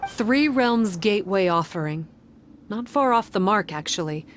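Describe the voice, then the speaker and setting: A woman playing a grave, enigmatic young shrine maiden in a video game, speaking in a measured, guarded, formal way. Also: medium pitch, animated, a little hiss or hum, natural voice. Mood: solemn explaining. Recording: music behind the voice.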